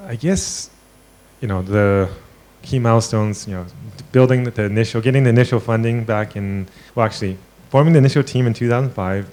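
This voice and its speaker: Low-voiced man with a halting delivery. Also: a little mellow. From born in China, grew up in the US. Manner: hesitant, many fillers and restarts. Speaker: tech founder interviewed. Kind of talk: business interview, conversational.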